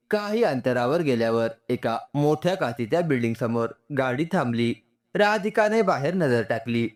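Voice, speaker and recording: A man's voice: medium pitch, darkish tone. A man reading a scripted, sentimental story as its narrator.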